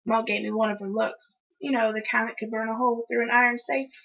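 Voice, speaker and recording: Woman, storybook reader, expressive narration, higher pitch, bright forceful tone. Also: fast, vocal fry, husky, highs cut off. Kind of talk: expressive first-person children's story narration.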